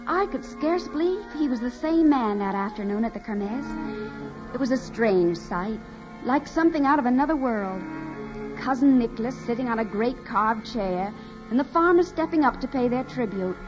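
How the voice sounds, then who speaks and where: Actress as a timid young woman, high voice. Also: lively intonation, normal speed, lightly acted. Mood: wistful narration. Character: naive, timid young farm woman, earnest and adoring. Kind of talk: 1940s radio drama acting and narration. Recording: muffled 1940s radio recording with music.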